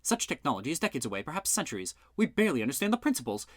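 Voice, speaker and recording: A man voicing a prim, precise woman scientist who speaks in a measured, formal way. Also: on the high side, big pitch swings, no room sound, studio quality, voice pushed up.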